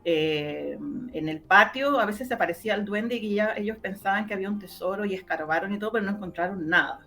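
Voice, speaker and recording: A woman's voice: medium pitch, quick pace, a bit bright. Chilean woman telling stories over music. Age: middle-aged. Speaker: interview guest, radio drama maker and journalist. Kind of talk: conversational storytelling in an interview.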